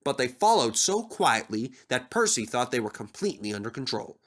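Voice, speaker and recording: A man's voice: medium pitch, very animated, fairly quick, a bit bright. A man reading a scripted children's story aloud as the narrator.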